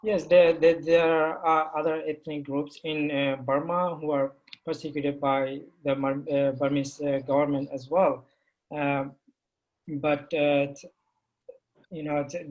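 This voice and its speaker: A man who grew up speaking Rohingya, a panel guest answering questions in halting speech with many restarts and fillers. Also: a little high, level tone, a little mellow, low-quality recording. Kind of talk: panel discussion.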